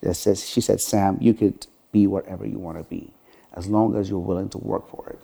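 A man's voice: low-pitched, steady tone, fairly quick, creaky, warm tone, slightly rough. A middle-aged man from Iran, a podcast guest telling his life story earnestly, with many "you know" fillers. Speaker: podcast guest, gym franchise owner. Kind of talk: interview storytelling.

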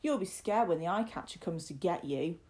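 A woman's voice: fairly low pitch, lively intonation, moderate pace, a little mellow, lightly acted. A woman voicing a sly working boy in a storybook, teasing with mock deference and ominous relish. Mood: feigned seriousness. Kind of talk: storybook character voice.

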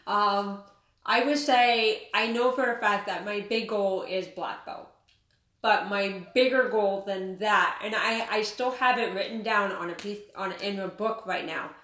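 A woman's voice: medium pitch, measured pace, a bit bright, recorded from a distance. A woman in her late thirties who teaches martial arts, telling her story in an earnest, candid way as an interview guest. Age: 30s. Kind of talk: interview chat. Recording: roomy sound, voice off-mic.

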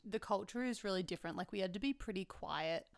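A young Australian woman with a loud voice chats casually, leaning on "like" as she goes. Her tone is smooth and clear, with a little gravel at the ends of phrases. It sounds close and dry. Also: mid-range voice, animated, fast talker, dry recording. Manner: chatty, fills with "like" often. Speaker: podcast co-host. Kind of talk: casual travel chat.

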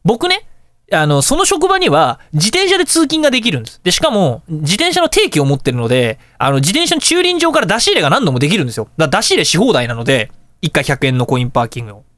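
A man hosting a radio show, telling anecdotes in a casual, chatty, animated way. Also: a little high, fast talker, no echo. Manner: animated, chatty storytelling with colloquial asides.

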